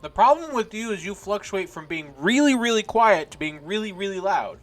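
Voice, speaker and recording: A man's voice: high voice, lively intonation, fairly quick. A man chatting casually as a game master while running a sound check for an online stream. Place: home voice chat without headphones. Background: background music.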